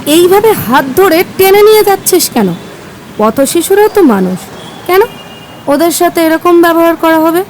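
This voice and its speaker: A high, animated schoolgirl voice played by a woman, kind-hearted and earnest in an amateur audio drama. Faint street noise sits behind the expressive lines. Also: moderate pace, poor audio. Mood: protesting, sympathetic.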